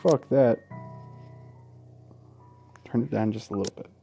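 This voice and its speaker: A man's voice: mid-range voice, measured pace, gravelly, mellow. A man reading a horror game aloud in a dramatic style as a let's play host, breaking off for crude, profane, mocking asides. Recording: music behind the voice. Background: game music.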